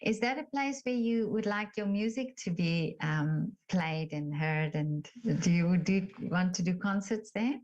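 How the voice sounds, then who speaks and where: A woman's voice: fairly low pitch, measured pace, few pauses, darkish tone, dull audio. A woman interviewing a guest over a video call, effusive and complimentary, with many fillers.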